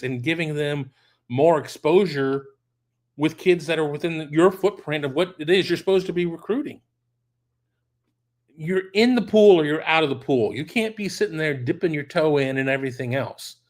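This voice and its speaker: A middle-aged man running a one-man sports talk show, his voice worn hoarse as if he is losing it. He speaks bluntly and with conviction, circling back over his points and stressing them twice. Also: medium pitch. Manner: blunt, emphatic, repeats phrases for emphasis. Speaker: sports podcast host. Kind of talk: solo opinion talk answering listener questions.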